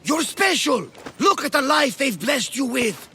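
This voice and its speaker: A man's voice: very high-pitched, big pitch swings, measured pace, sharp tone, a little hiss or hum, full character voice. A man playing a hearty, loyal Greek companion in a video game, exuberant and emphatic. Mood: exuberant, encouraging. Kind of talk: cinematic video game acting.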